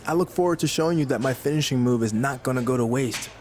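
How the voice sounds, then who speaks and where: An actor playing a self-assured, understated young rookie wrestler in a video game cutscene. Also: male voice, mid-range voice, fast, darkish tone, a little hiss or hum, lightly acted.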